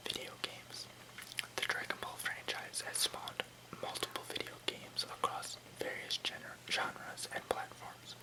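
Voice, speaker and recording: An ASMR host reading an article aloud in a soft, breathy, unvoiced whisper, with the odd stumble over words. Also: rough recording. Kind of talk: whispered ASMR reading with brief casual asides.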